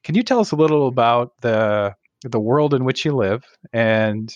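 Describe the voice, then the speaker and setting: A man's voice: medium pitch, expressive, normal speed, no room sound, dull audio. A man hosting an interview podcast, welcoming listeners and asking a guest long questions. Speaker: podcast host.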